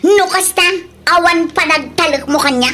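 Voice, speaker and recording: High-voiced, stubborn spendthrift Ilocano wife. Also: female voice, moderate pace, bright, forceful tone, a little hiss or hum. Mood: indignant. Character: spendthrift wife who likes expensive things, stubborn, defensive. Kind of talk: Ilocano radio drama acting.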